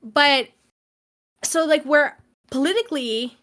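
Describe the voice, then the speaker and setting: A woman's voice: sits high, takes it slowly, fairly bright tone. Chatty young woman with Quebec-tinged English. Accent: Quebec French-tinged English. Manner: rambling, filler-heavy, explains with many asides. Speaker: podcast co-host. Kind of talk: casual chat.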